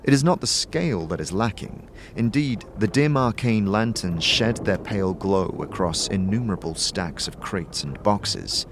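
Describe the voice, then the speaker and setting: A man narrating a scripted fantasy tale in a measured, theatrical storytelling manner. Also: on the low side, animated, background noise. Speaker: narrator and game player.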